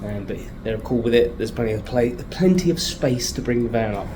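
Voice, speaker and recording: British male vlogger, mid-pitched, informal video diary delivery, some background noise. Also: animated, a little fast. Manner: informal, chatty, thinking aloud. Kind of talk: casual video diary, talking while showing the space.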